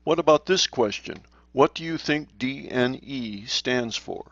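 Gravelly man narrating a lesson. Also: medium pitch, no echo, muffled recording. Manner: measured, instructional, poses questions to the learner. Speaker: sailing instructor. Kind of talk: scripted slide narration.

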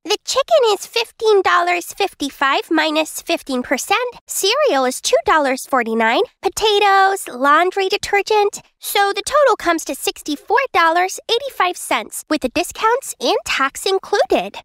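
Animated story role, woman performer: precocious bookish girl, high-pitched narration, dry studio sound. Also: unhurried, few pauses, no room sound, heavily acted. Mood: matter-of-fact. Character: precocious, bookish girl genius recounting her childhood.

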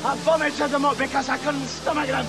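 An actor playing an arrogant, cruel young king who mocks and taunts his old mentor, often shouting. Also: extremely high pitch, flat delivery, brisk, loud music behind the voice. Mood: raging.

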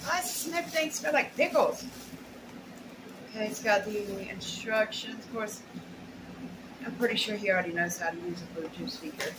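A woman's voice: medium pitch, normal speed, pauses often. A middle-aged woman chats casually through an unboxing at home, rambling in a folksy way with muttered asides. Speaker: haul vlogger. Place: small bare room at home. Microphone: camera or phone a short distance away. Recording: roomy echo, clear background noise.

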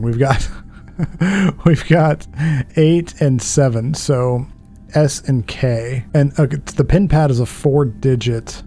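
A man's voice: medium pitch, warm tone. A man in his thirties on a headset, casually narrating a puzzle game while muttering to himself, puzzled and self-deprecating. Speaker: gaming streamer. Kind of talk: casual let's-play commentary.

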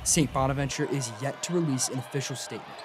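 A man's voice: medium pitch, quick pace, background noise. Young American man reading news. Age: young adult. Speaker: student TV news reporter. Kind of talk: scripted news narration.

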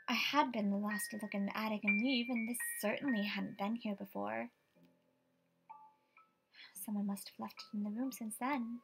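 A woman's voice: a little high, a little hiss or hum, rough recording. A woman voicing a frightened, superstitious young estate agent in a horror game, with a dramatic, tremulous delivery. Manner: dramatic, tremulous, breathy asides, outbursts. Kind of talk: horror visual novel voice acting.

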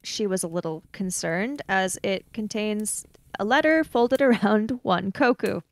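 A woman's voice: medium pitch, unhurried, no room sound. A woman playing a tabletop roleplaying game, chatting casually and describing what her character does.

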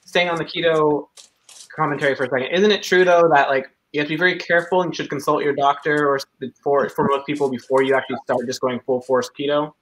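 A man in his thirties speaks hesitantly on a video call, restarting his questions. His voice sits slightly high for a man. He is heard over a webcam mic at home. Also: steady tone, quick pace, fairly bright tone, a little hiss or hum, rough recording. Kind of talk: casual chat on a video call. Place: indoors at home.

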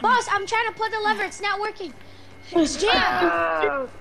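A woman plays an agitated underling with a very high, creaky voice. It is amateur game roleplay, with other voices crowding the background. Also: animated, unhurried, a loud crowd, full character voice. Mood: panicked.

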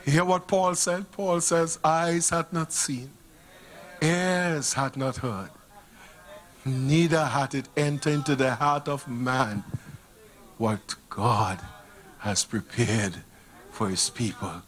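Impassioned Vincentian pastor preaching, slow. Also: male voice, medium pitch. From Saint Vincent and the Grenadines. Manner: impassioned, swings from hushed to exclaiming. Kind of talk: sermon. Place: church sanctuary stage. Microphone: handheld microphone.

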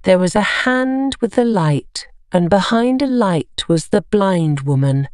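A woman reading a scripted story for English learners, carefully and with clear enunciation. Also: mid-range voice, animated, unhurried, a little mellow, dry recording, studio quality. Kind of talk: scripted audiobook narration.

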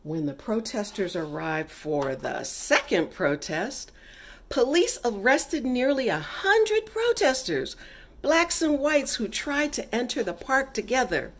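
A middle-aged woman reading a children's picture book aloud with deliberate, emphatic, dramatic phrasing. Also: mid-range voice. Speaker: read-aloud storyteller.

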